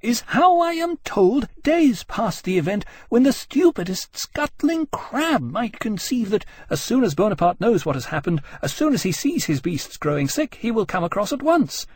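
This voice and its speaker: A man voicing a furious, sharp-tongued woman naval officer in an audiobook, swearing and scornful. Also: high voice, sing-song, moderate pace, no room sound, pitched above the performer's own voice. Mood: shouting, outraged. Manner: swearing, sarcastic, indignant shouting.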